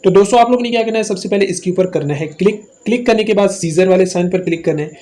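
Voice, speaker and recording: Male tutorial host, Pakistani accent, high-pitched voice, walking viewers through app steps. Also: fast talker, dark tone. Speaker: host of a tech tutorial channel. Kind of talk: scripted-style phone app tutorial narration.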